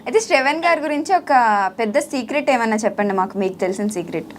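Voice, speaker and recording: A woman's voice: a little high, animated, rapid-fire, a little hiss or hum. A woman hosting a casual interview as the anchor.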